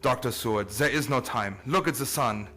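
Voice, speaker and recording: An actor playing an urgent, commanding vampire hunter in a radio play, solemn and dramatic, with stilted phrasing. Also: male voice, mid-range voice, moderate pace, bright, forceful tone.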